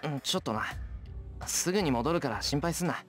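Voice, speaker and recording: A man's voice: fairly high pitch, animated, racing, soft background music. A man voicing an earnest, stubborn teenage boy in a Japanese visual novel, with blunt, boyish speech. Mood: earnest, flustered.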